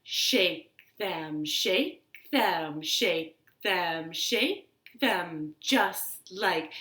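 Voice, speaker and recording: Lady doing kids storytime from her living room, really slow n bright, bit echoey, simple encouraging way of talking. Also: lowish voice, lively intonation. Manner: slow, simple, encouraging phrasing for young children. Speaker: children's storytime host at a library. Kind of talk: children's storytime with rhymes and read-aloud. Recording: roomy, echoing home room sound.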